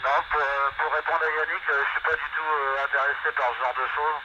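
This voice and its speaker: A man's voice: fairly high pitch, little pitch movement, fairly quick. An older man chatting casually over amateur radio, giving reflective, opinionated views through a two-way FM link. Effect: two-way FM radio transmission. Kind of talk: casual ham radio conversation. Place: in a vehicle, mobile station. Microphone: radio transceiver microphone. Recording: muffled, very noisy FM radio link.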